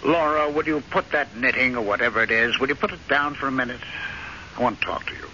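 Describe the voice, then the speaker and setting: An actor playing a frustrated, restless American husband in an old-time radio drama, sardonic, emphatic and easily riled. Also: male voice, medium pitch, quick pace, sharp tone. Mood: sarcastic. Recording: muffled, noisy old radio recording.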